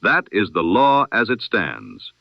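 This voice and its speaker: A man reads a scripted news bulletin in 1920s radio style, formal and solemn, with measured oratorical delivery through an old-time radio filter. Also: fairly low pitch, wildly swooping pitch, no echo. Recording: dull, band-limited vintage radio sound.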